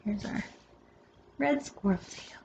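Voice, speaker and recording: A woman hosting a storytime, reading a children's picture book expressively with dramatic pauses and casual asides. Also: muffled recording. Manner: animated, dramatic pauses between phrases. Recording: roomy echo with faint background noise.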